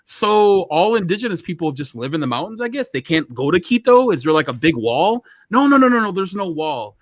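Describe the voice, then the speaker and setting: A man's voice: high-pitched, a little fast, dry recording, studio quality. An American man hosting a podcast, telling a story casually, acting out the dialogue and saying "you know" a lot. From United States. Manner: animated, acts out dialogue, many "you know"s.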